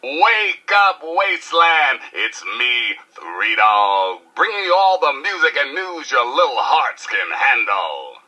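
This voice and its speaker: Hyped up wasteland radio dj, brash and showy, super bright voice, really animated. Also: male voice, medium pitch, slow, hardly any pauses, heavily acted. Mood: hyped, exuberant. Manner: showy, howling, irreverent. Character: brash, hyped post-apocalyptic rebel radio DJ. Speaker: radio DJ and newsreader. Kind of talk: radio DJ patter.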